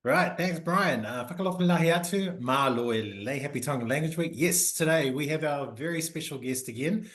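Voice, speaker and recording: A man's voice: fairly high pitch, fairly quick, hardly any pauses, a bit bright. Upbeat man co-hosting a podcast. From New Zealand. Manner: upbeat greetings, many fillers. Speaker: co-host.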